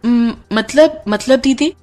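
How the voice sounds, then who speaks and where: Woman voicing a sweet, eager, high-pitched young girl. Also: takes it slowly, a little mellow. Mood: hesitant, humble. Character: humble, eager young woman, a gifted mehndi artist. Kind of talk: Hindi cartoon story dialogue.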